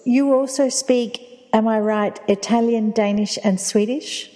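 A woman's voice: a little high, steady tone, a little slow, mellow, long reverb tail. A woman asking conversational questions as an interviewer.

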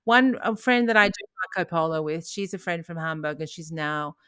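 A middle-aged woman talks in a relaxed, meandering way, restarting her sentences and laughing often. Her voice sits low for a woman, and the recording is close and dry. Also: fairly quick, clean tone, no room sound. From Australia. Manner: rambling, frequent restarts and laughs. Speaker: podcast co-host. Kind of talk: casual chat.

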